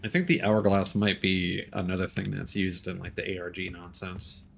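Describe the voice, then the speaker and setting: A man's voice: deep voice, moderate pace, vocal fry, a little hiss or hum. A man giving casual, rambling live commentary as a game streamer, informal and prone to swearing. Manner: informal, rambling, swearing, muttered reactions to what is on screen.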